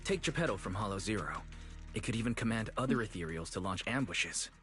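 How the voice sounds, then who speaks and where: A somewhat deep male game character, analytical and skeptical. He lays out his reasoning calmly and deliberately over soft music. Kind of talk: video game voice acting.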